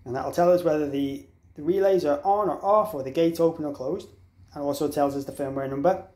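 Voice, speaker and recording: A man with a slightly high voice talks through a product tutorial in an echoey room. He explains things step by step in an informal, unscripted way, carefully spelling out letters and numbers. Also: fairly even intonation, fairly quick, a little mellow. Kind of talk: instructional walkthrough, informal and unscripted. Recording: echoey, roomy sound.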